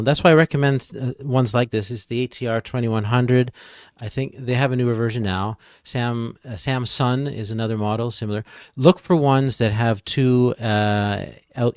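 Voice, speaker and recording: A man's voice: mid-range voice, no echo, studio quality. A man speaking as a podcast guest expert, explaining things in a casual, plain-spoken and practical way.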